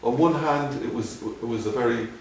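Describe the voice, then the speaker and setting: A middle-aged man with a Dublin Irish accent, answering interview questions in a halting way, with many restarts. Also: medium pitch, vocal fry, slight background noise. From Ireland. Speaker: guest, doctor. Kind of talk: conversational interview. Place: museum room. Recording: echoey room, from a distance.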